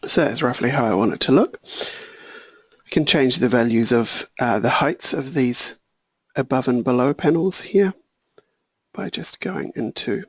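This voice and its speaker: A man walking through a software tutorial, thinking aloud and correcting himself, a little hoarse. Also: medium pitch, measured pace, no room sound. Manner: relaxed, thinking aloud, small self-corrections. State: slightly hoarse, clearing throat. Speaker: software trainer. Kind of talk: screen-share software tutorial.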